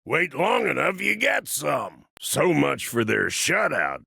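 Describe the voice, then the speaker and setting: A man voicing a gruff, cocky, aging cyborg fighter in a video game, a folksy wisecracking old-timer with a gravelly rasp and a drawling delivery. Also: a little high, big pitch swings, slow, bright, forceful tone, no room sound, clean studio sound, exaggerated voice. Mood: wry, folksy. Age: older. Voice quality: gravelly, raspy. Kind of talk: video game character voice lines.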